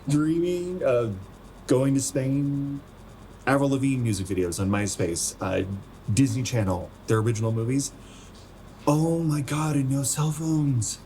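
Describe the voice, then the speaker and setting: An American man playing a weary, bluntly honest friend in his twenties in a naturalistic radio play, with measured delivery. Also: medium pitch, dark tone, faint music behind, natural voice. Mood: nostalgic. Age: young adult. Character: resigned 27-year-old male hometown friend, weary and bluntly honest.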